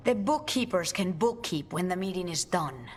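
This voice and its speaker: Poised, low-pitched guild leader played by an actress. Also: female voice, lively intonation, moderate pace, slight background noise, natural voice. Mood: commanding, persuasive. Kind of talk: video game dialogue acting. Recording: game music underneath.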